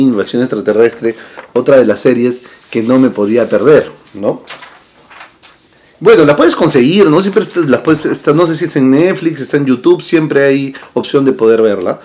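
A man, chatting casually to camera as a vlog host in a room full of toy shelves, lively and full of tag questions. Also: mid-range voice. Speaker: channel host. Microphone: fixed camera at desk distance.

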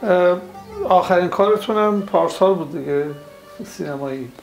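An older male interviewer puts conversational questions in a somewhat high, creaky voice. The room sounds echoey around him. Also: music in the background. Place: living room, indoors.

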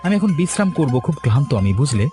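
Tired cartoon village husband male actor: quick, varied intonation, mild and coaxing, light music bed. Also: fairly high pitch, very animated. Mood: weary, placating. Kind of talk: cartoon story dialogue.

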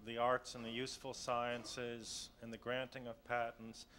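A man in his thirties making a measured, argumentative policy case as a panelist in a hotel conference room, into a table mic. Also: medium pitch, completely flat delivery, pushed and bright, a little hiss or hum. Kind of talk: panel discussion. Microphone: table mic on a stand.

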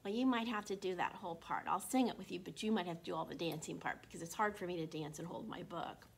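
A woman in her sixties with a slightly froggy throat, hosting an interactive read-aloud for young children in an animated, encouraging way. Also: on the low side, fast talker, creaky. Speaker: children's storytime host at a library. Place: small indoor room. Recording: constant room noise.

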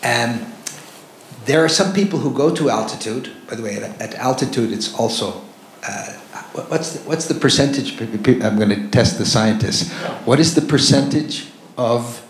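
A man in the audience with a loud voice, asking a long, rambling question full of fillers and restarts. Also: medium pitch, normal speed. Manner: rambling, self-deprecating, many fillers. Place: large lecture hall with audience. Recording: echoey hall, faint noise.

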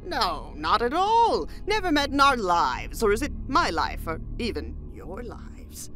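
A whimsical, riddling hermit woman in a fantasy audio drama. Her pitch is high and swings wildly, with slow, theatrical delivery. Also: huge pitch swings, fairly bright tone, faint music behind, full character voice. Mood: playful, whimsical. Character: eccentric, cryptic hermit woman, whimsical wandering time-seer.

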